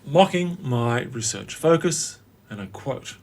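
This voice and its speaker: A man in his late forties narrating his own story as a host, in a wry, theatrical way with dramatic pauses. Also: medium pitch, expressive, a little mellow. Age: late 40s. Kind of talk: scripted personal narration.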